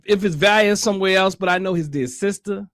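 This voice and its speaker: An American man hosting a casual livestream discussion, speaking haltingly and repeating phrases for emphasis. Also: sits high, fairly bright tone, dry recording, studio quality. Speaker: online show host.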